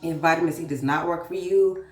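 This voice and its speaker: Low-voiced woman, chatty and congested. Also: steady tone, brisk, darkish tone, echoey room, far from the mic, faint music behind. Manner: chatty, addresses viewer as girl. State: congested, sounds sick. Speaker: vlogger and author. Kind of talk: casual get-ready-with-me chat.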